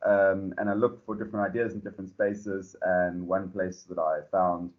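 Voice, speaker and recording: South African man, founder being interviewed, lowish voice, rambling delivery, dull lo-fi sound. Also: normal speed. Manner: relaxed, rambling with many fillers and restarts. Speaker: startup founder and CEO. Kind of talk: podcast interview.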